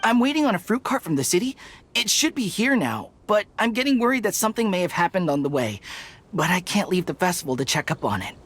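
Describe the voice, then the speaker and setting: A woman's voice: on the low side, sing-song, fast, slight background noise. A woman acting a courteous, pleading festival vendor in a video game. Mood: worried. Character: polite, anxious festival fruit vendor woman.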